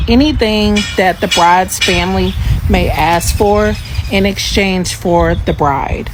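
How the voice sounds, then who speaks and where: A woman's voice: lowish voice, noisy background. An American woman carefully telling a personal story about her marriage customs. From United States. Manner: careful, explanatory.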